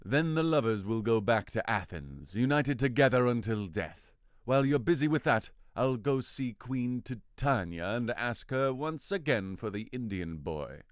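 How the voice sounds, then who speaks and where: A man's voice: medium pitch, expressive, unhurried, few pauses, clean tone, no echo, clean studio sound, close to an everyday voice. A man playing a commanding fairy king in an audio drama, speaking with theatrical authority and deliberation. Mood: commanding, scheming. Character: commanding fairy king, a lordly forest spirit. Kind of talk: audio drama acting of modernized Shakespeare.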